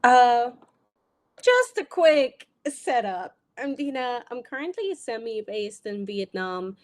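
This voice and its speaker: A woman's voice: on the high side, slow. A young adult woman from Germany with an American accent, talking directly and energetically as a business coach in a solo live video. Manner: energetic, direct, casual with occasional swearing.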